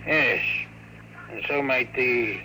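An actor playing an ailing, shrewd old mayor in a vintage radio drama, speaking hoarsely in a weary, confiding way. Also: male voice, slow pace, pushed and bright. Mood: grave, confiding. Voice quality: low, hoarse voice. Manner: weary, confiding, throat-clearing. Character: ailing old big-city mayor, desperate but shrewd. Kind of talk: 1940s-50s radio drama acting. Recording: noisy old radio broadcast recording.

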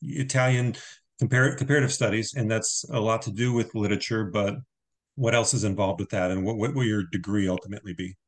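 A man hosting a podcast interview, chatting casually in a rambling, halting way. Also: fairly low pitch, steady tone. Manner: rambling, halting, with frequent fillers and laughs. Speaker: podcast host.

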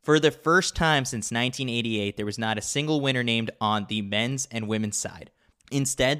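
A man's voice: lowish voice, sharp tone. A man reading a scripted sports newsletter with wry, tongue-in-cheek commentary. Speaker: podcast reader of a sports newsletter.

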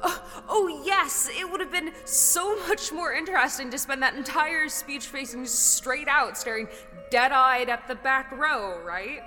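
A woman acts out a brash young male actor's ghost, high-voiced and flamboyant. The delivery is sassy, mocking and passionate, audio drama style, in a long reverberant hall with music behind. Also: measured pace. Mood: indignant.

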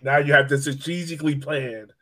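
A man's voice: a little high, fairly even intonation, fairly quick, pushed and bright, a little hiss or hum. A man hosting a podcast, chatting casually about sports. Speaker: podcast host.

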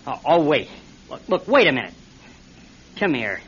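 An actor playing a reluctant, cautious young amateur pilot in a classic radio drama, casual and stammering. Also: male voice, on the high side, big pitch swings, moderate pace, a bit bright, slightly rough, heavily acted. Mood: relenting. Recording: dull, slightly noisy radio drama recording.

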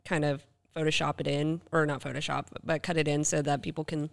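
A woman's voice: deep voice, little pitch movement, fairly quick, creaky. A woman co-hosting a podcast in casual chat, emphatic and readily agreeing. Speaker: podcast co-host.

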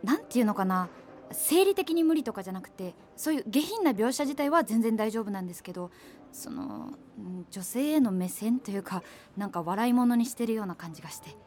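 Radio drama role: actress as frank, polite but blunt young woman, fairly high voice, music behind. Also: animated, brisk, darkish tone, natural voice. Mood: uneasy, critical. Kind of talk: naturalistic radio drama dialogue.

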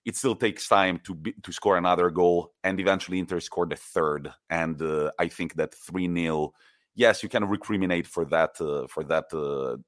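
A young man talks football in a low, deep voice and tells stories with emphatic, lively energy. His voice sits very low, and the sound is dry and close, with no room around it. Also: moderate pace, dry recording. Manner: animated, emphatic storytelling. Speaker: podcast co-host. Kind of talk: casual football chat.

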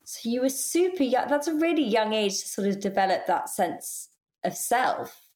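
A woman interviewing a guest in a casual conversation, asking gentle, attentive follow-up questions. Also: fairly high pitch, animated, normal speed, reverberant.

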